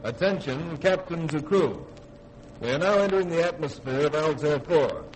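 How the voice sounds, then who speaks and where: An actor playing a steady spaceship commander in a 1950s radio drama, brisk and authoritative. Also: male voice, on the high side, lightly acted, low-quality recording. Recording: faintly hissy 1950s radio recording.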